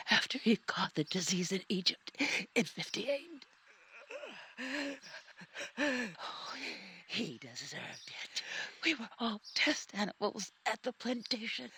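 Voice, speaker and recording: An actress playing a menacing, sickly, embittered woman in a horror game, with a husky, breathy rasp and an intense theatrical delivery. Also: medium pitch, bright, forceful tone, full character voice. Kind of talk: dramatic horror game acting.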